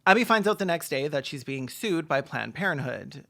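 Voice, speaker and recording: A video essay host doing sarcastic comedic commentary with mock-serious, deadpan jokes. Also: mid-range voice, normal speed, vocal fry.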